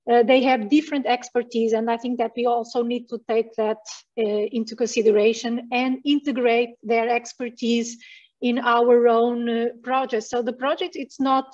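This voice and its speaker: A woman speaking as a panelist in an online discussion, measured and hesitant with many fillers, over a video call headset. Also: on the high side, a little mellow. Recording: dull distant video call audio.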